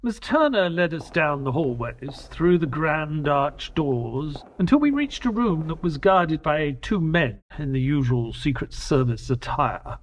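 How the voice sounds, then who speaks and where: A man acts an earnest gentleman companion in a detective audio play. His voice sits fairly high and moves with lively inflection. He is polite and educated, painting scenes like a storyteller. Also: a little slow, hardly any pauses, slight background noise, low-quality recording. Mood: narrating. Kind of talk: audio drama narration and dialogue.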